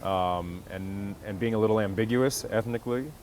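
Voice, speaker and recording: Low-pitched American man over outdoor noise. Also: normal speed, a little mellow. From Connecticut, United States. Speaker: interview guest, stage actor. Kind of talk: casual interview storytelling. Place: outdoors on a golf course. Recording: clear outdoor background noise, vehicles.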